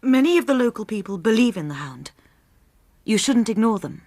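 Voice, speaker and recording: Anxious young moorland woman, radio play, breathy, swoopy pitch, intense. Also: sing-song, fairly quick, a little mellow, no echo. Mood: fearful. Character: anxious young woman of the moor, superstitious, uneasy. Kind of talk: radio drama acting.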